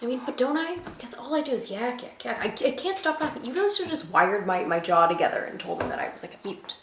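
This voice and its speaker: An actress playing an earnest, stubborn believer in the supernatural, talking in an insistent, rambling way in a naturalistic drama. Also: female voice, on the high side, big pitch swings, quick pace, a bit bright. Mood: self-reproachful. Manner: insistent, rambling, self-deprecating. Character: earnest, stubborn, chatty woman who believes in ghosts. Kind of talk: naturalistic film drama acting. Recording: echoey room, distant mic, faint noise.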